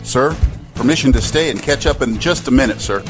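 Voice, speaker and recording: A man's voice: medium pitch, brisk, music in the background, close to an everyday voice. A man playing an eager, affable army sergeant in an audio drama. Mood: eager, friendly. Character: eager, affable male sergeant greeting old friend.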